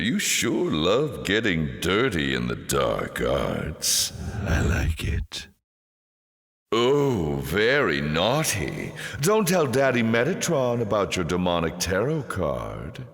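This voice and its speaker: A man playing a suave, mocking demon lord in a video game, with a silky, pompous, sardonic delivery and drawn-out teasing. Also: sits low, wildly swooping pitch, a bit bright, full character voice. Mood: sly, teasing. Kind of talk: theatrical video game villain voice acting. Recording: echoing, cavernous reverb.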